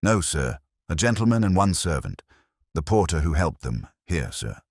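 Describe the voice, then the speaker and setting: Male reader as obliging station clerk in a period mystery, very deep, brisk and respectful. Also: big pitch swings, slightly rough, dry recording, studio quality, heavily acted. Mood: helpful, matter-of-fact. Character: polite, obliging male railway ticket clerk. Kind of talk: dramatic audiobook dialogue.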